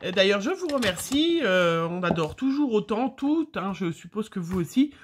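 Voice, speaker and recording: A woman's voice: mid-range voice, very animated, normal speed, noisy background. A middle-aged French woman with a slight cold, chatting casually and rambling with many fillers as she opens her mail for her viewers. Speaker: scrapbooking vlogger. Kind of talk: casual chat, opening mail on camera.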